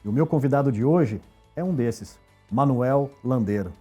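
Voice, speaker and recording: A Brazilian man hosts an interview in a deep, very dark, husky voice. He asks questions warmly and affirms often. Soft music plays faintly under the talk. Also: expressive, normal speed. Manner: friendly, affirming, short backchannels. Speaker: interview host. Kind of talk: podcast interview hosting.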